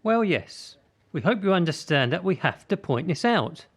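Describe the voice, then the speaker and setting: An actor playing a critical, controlling gymnastics coach in a radio drama, measured and condescending. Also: male voice, a little high, very animated, breathy edge, heavily acted. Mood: smoothly condescending.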